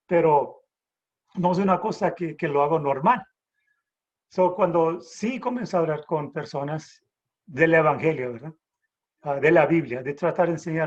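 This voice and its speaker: A middle-aged man from the Texas border teaches the Bible in a loose, halting way, circling back with restarts and asking his listeners "right?". His voice is husky and sits a little high. The dull, lo-fi audio comes through a video call. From Texas border, raised in San Antonio. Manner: halting, many restarts and rhetorical "¿verdad?".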